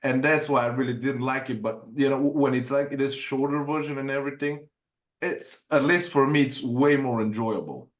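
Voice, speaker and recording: A man's voice: mid-range voice, steady tone, moderate pace. Rambling Bulgarian-born man hosting, echoey room. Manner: rambling, stumbling restarts. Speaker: podcast host. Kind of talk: casual interview conversation.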